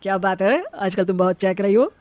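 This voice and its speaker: A woman voicing a calm young husband with a reasoning, teasing manner in story dialogue. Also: medium pitch, fairly quick, dry recording, lightly acted.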